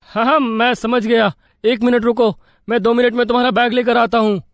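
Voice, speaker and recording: Cartoon henpecked husband, acted by a man, young, very high male pitch, exasperated, dry. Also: little pitch movement, moderate pace, no room sound. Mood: flustered.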